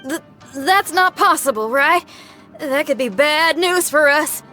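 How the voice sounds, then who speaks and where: A spirited young rocker girl from a video game, high and bright. Loyal and plain-spoken, the character talks in a folksy way over faint music. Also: animated, slow pace, heavily acted. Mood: worried.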